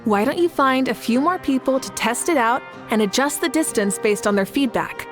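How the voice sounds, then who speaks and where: A woman's voice: high-pitched, lively intonation, moderate pace, loud music behind the voice. A woman voicing a confident, fiery and good-humoured warrior champion in a video game, assured and playful. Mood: considered. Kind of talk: video game character acting.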